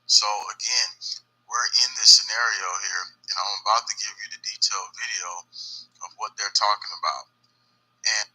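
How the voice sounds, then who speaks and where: A man with a fairly low, raspy voice hosts an online video show. His sound is very bright and forceful. He comments excitedly on conspiracy themes, leaning on emphasis and repetition. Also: rough recording.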